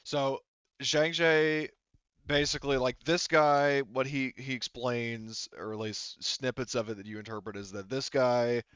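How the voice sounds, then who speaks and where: Male game master, clear bright voice, improvised tabletop narration, emphatic but halting, searching delivery. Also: mid-range voice, steady tone, no room sound, lo-fi sound. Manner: halting, discursive, emphatic storytelling.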